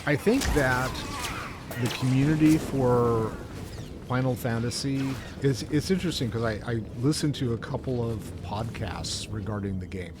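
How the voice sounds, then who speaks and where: A man's voice: medium pitch, moderate pace, over music. A man co-hosting a gaming show, chatting casually as he plays in a rambling, mildly exasperated way. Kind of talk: casual chat while gaming.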